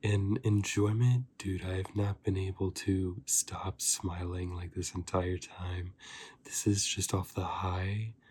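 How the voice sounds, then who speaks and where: A man giving a softly spoken ASMR review as a host, breathy and often slipping into a whisper, chatting informally and rambling like a fan. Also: sits low, level tone, slow pace, slight background noise. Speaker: host of an anime review channel.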